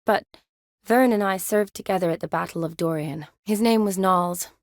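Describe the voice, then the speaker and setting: An actress playing a young woman army medic in a video game, caring, playful and briskly practical, with a natural, teasing delivery. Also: lowish voice, dry recording, clean studio sound, natural voice. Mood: sober, matter-of-fact. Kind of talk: video game character dialogue.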